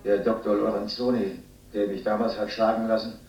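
A lonely old Austrian man with a lowish voice, heard at a distance in an echoing empty hall. He broods solemnly over his past as an old communist, in the manner of a radio drama. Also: flat delivery, fairly quick, gravelly, a little mellow, soft background music. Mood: bitter, reflective. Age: older. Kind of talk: radio play acting.

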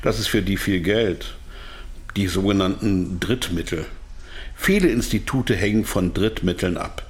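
An actor playing a captive businessman in a radio drama, articulate and argumentative, halting under strain, defensive and bitter. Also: sits low. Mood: defensive, indignant.

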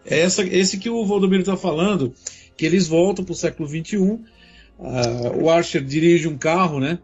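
Muffled audio, male, Brazilian accent, higher-pitched, casual fan podcast chat. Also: moderate pace, a little mellow, faint music behind. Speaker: podcast panelist.

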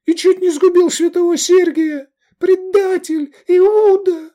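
A man's voice: extremely high pitch, fairly even intonation, slow, dark tone, pitched above the performer's own voice. A man voicing a brave, passionate young woman, pleading and defiant as he cries out in an audiobook story. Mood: desperate, accusing. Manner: crying out, emotional.

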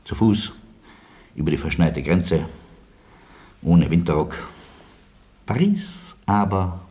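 An actor playing a courteous, ironic Polish-born refugee and sunny survivor in a German radio drama. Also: male voice, deep voice, takes it slowly, warm tone. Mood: ironic, wistful.

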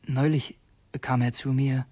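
An actor playing a secretive, brooding would-be assassin in a radio drama, intense and introspective, as if confessing to himself. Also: male voice, medium pitch, flat delivery, dark tone, no echo, close to an everyday voice. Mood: conflicted, musing. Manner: intense, introspective, halting self-questioning. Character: brooding young male conspirator and would-be assassin, torn and secretive.

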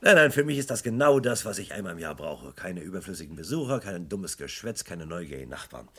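Husky, low-voiced male police inspector. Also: very animated, fast talker, a bit bright, full character voice. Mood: grumbling. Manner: brusque, grumbling. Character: gruff, weary male police chief inspector. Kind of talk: German radio crime drama acting.

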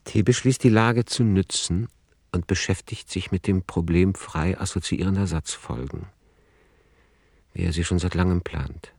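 A man reading scripted radio drama narration in a formal, matter-of-fact, measured manner. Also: sits low, a little mellow, husky, no room sound.

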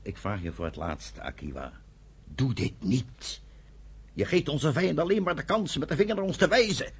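A troubled elder brother in a radio play. His very raspy voice is slightly high and moves widely in pitch. He is stern, grave and emotional. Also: lively intonation, normal speed, full character voice. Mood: grave pleading. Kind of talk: Dutch radio play acting.

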